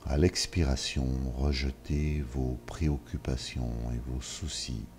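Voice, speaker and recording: Man, very deep voice, mellow, guided relaxation, soft music underneath. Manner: calm, measured instructions with long pauses. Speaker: yoga nidra guide. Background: soft ambient music.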